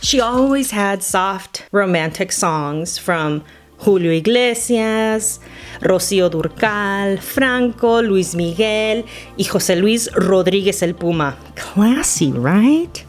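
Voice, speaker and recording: A woman's voice: mid-range voice, animated, takes it slowly. Woman telling nostalgic stories over music. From United States. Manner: playful, nostalgic, slipping into Spanish phrases. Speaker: storyteller. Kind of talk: personal scripted storytelling narration.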